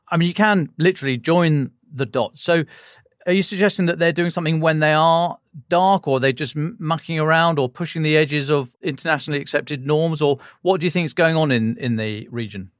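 A British man hosting a podcast interview, asking questions in an informal, conversational way with halting phrasing. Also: a little high, no room sound, clean studio sound.

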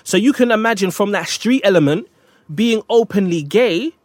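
A man talks casually with a co-host, his voice sitting a little high for a man. He is reflective and often repeats a phrase while he finds his point. The sound is dry and close. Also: lively intonation, moderate pace, dry recording. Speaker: podcast co-host. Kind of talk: casual chat.

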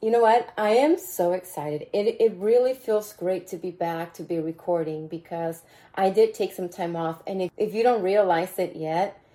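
A woman with a raspy voice hosting a motivational podcast, talking casually and mentoring her listeners, often repeating phrases. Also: fairly low pitch, moderate pace. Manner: warm mentoring tone, repeats phrases, bursts of laughter. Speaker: podcast host.

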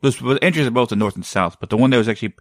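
A man's voice: mid-range voice, lively intonation, rapid-fire, no echo, studio quality. A man hosting a casual chat, rambling and often searching for the right word.

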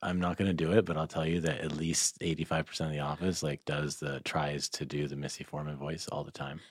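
A man's voice: extremely low pitch, level tone, fast talker, breathy edge, no echo. A man hosting a casual podcast interview, talking informally and feeling his way through his questions. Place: studio.

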